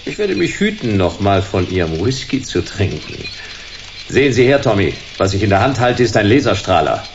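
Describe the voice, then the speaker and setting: An actor playing a laconic hard-boiled private detective in a German radio drama, dry and clipped. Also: male voice, lowish voice, very animated, moderate pace, highs cut off, a little hiss or hum. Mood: dry defiance. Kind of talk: first-person noir narration and dialogue in radio drama.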